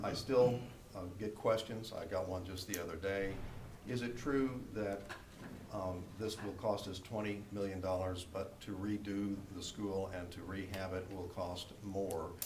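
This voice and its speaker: Deep American man, distant and echoey. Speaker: city council member. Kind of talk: unscripted remarks at a public meeting. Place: indoor council chamber. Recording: echoey noisy room, distant microphone.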